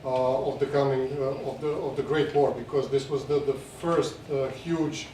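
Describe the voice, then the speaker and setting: Mellow man in an echoey room. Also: mid-range voice, fairly even intonation, normal speed. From Serbia. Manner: hesitant, repeats words while explaining. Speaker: documentary film director, festival guest. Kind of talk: unscripted interview answer. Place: echoey screening venue. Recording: echoey room, distant mic, faint noise.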